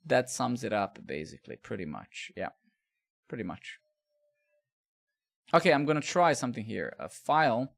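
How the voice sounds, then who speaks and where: A middle-aged man from Serbia talks through his code on a live stream. His comes through in loose, rambling, profane chat aimed at his viewers, in a voice sitting at an ordinary middle pitch. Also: lively intonation, moderate pace, gravelly, long pauses, fairly bright tone. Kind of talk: casual live-coding chat.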